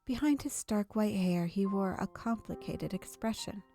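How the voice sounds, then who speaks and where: A woman narrates a visual novel with dramatic, drawn-out phrasing. Her voice is mellow and relaxed at a middle pitch. Soft game music plays behind her. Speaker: streamer reading a visual novel aloud. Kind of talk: expressive story read-aloud for a gameplay video.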